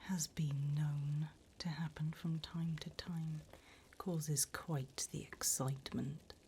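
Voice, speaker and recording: A woman plays a devilish demon interviewer in an ASMR roleplay. She whispers breathily, low and slow, with mock-formal politeness. A clear bed of noise sits behind her. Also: flat delivery, dark tone. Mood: sly, businesslike.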